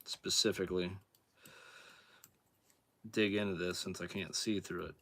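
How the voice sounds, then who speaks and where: Deep-voiced man host, hoarse, laid-back workshop demo. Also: a little slow, no room sound. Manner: relaxed, plain-spoken, thinking aloud while working. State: hoarse, clearing throat. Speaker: outdoors channel host. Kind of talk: casual hands-on demonstration.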